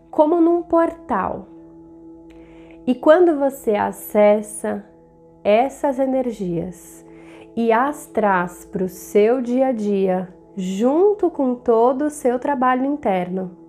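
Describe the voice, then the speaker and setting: A woman with a Brazilian accent narrating a guided meditation in a soothing, deliberate manner, in short measured phrases. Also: fairly high pitch, warm tone. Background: meditative background music.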